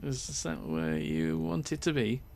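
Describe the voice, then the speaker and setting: A man voicing a courteous older pipe-smoking gentleman on a train in a short story, speaking politely in an old-fashioned way. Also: fairly low pitch, sing-song, takes it slowly, vocal fry, voice pushed down, heavily acted. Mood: calm, questioning. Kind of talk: short story character dialogue.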